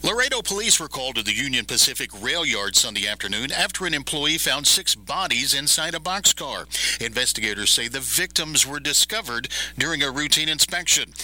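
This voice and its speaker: A man's voice: mid-range voice, bright, forceful tone, rough recording. A man reading a scripted radio news report as a correspondent.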